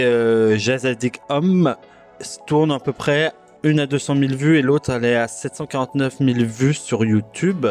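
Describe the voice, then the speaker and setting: Guy hosting a chill solo podcast, lots of ums and restarts, faint music behind. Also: medium pitch. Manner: hesitant, full of filler sounds and restarts; relaxed, self-mocking. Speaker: podcast host. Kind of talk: casual solo podcast chat about music.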